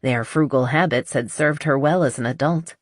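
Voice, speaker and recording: A woman with a low-pitched voice narrates romantic fiction. She reads scripted prose as an audiobook narrator. The sound is very dry, with no room around it. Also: normal speed, dry recording.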